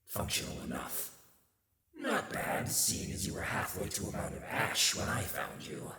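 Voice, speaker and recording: Casting: male performer as sardonic demon master, low gravelly voice, wide dramatic swings, condescending, ASMR roleplay. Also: big pitch swings, measured pace, echoey room, long reverb tail, a crowd in the background, heavily acted. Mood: wry, grudging. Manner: theatrical, condescending, sly asides.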